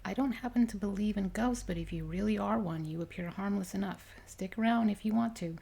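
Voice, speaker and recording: A woman's voice: medium pitch, brisk, vocal fry, mellow, slightly rough. A woman voicing a tired, unbothered mother in her thirties in an ASMR storybook reading, easygoing and matter-of-fact. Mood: casual, amused. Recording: faint noise behind the voice.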